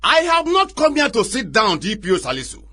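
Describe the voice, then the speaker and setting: A proud, indignant Nigerian chief in a radio drama. His high male voice is lively and swooping, full of sarcasm and confrontation. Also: brisk, heavily acted.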